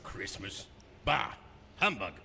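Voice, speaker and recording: A man's voice: on the low side, big pitch swings, slow, creaky, pushed and bright, husky, full character voice. An actor playing a bitter, miserly old businessman in a radio drama, curt and scoffing, in an echoey hall. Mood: scornful, irritated. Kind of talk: theatrical radio drama acting.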